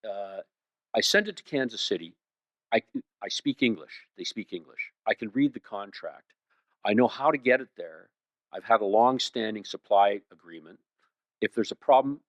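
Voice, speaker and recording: Canadian guy giving a conference talk, lowish voice, kinda muffled lo-fi recording. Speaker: conference speaker. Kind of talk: conference talk answering audience questions. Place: indoor conference room. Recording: roomy, dull lo-fi sound.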